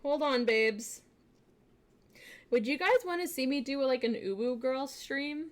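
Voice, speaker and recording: A young woman streamer chatting casually with her viewers, bubbly and giggly, with lots of fillers, switching between quiet asides and excited greetings. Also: a little slow. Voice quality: fairly deep voice for a woman. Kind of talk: casual live-stream chat.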